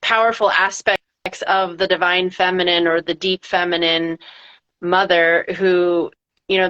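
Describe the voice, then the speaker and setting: A woman's voice: lowish voice, bright, forceful tone. A woman hosting a podcast interview, speaking in long flowing spiritual phrases. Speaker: podcast host. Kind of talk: interview chat. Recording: dull lo-fi sound.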